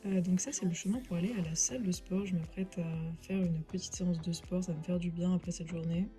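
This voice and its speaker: Chatty young French woman, mellow voice. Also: lowish voice, fairly quick, soft background music. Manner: relaxed and chatty, many "euh" fillers. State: stuffy with a cold. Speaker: vlogger, finance master's student. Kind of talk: casual vlog narration.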